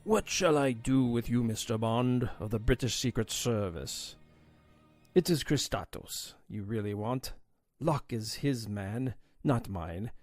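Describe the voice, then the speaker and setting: Male actor as older Greek smuggler chief, boastful, hearty, audio drama, medium pitch, music underneath. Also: a little slow, a little mellow, no echo, close to an everyday voice. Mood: menacing, frank. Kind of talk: audio drama character acting.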